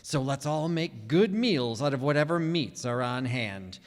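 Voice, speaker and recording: An actor playing an earnest, polished 1940s radio announcer, declaiming persuasively to the listeners. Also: male voice, medium pitch, animated, unhurried, close to an everyday voice. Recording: reverberant hall stage recording.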